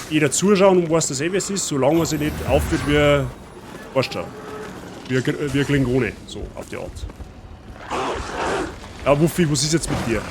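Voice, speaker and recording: A man with a Bavarian accent chatting casually with his viewers while playing a game. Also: a little high. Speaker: streamer. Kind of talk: casual let's-play chat. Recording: noisy stream audio.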